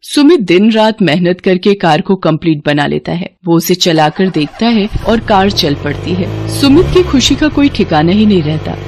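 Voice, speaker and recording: A woman's voice: on the low side, lively intonation, normal speed, hardly any pauses, a little mellow, slight background noise. A woman narrating a scripted moral story for children.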